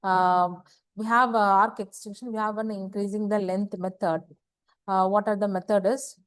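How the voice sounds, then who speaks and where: Woman lecturing, halting and dry-sounding. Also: medium pitch, little pitch movement, no room sound. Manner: halting, repetitive, asks and answers own questions. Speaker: engineering lecturer. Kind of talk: slide lecture explaining technical material.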